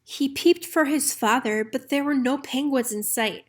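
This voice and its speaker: Female storybook narrator, fairly high voice, expressive scripted read-aloud for children. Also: unhurried. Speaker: storybook reader.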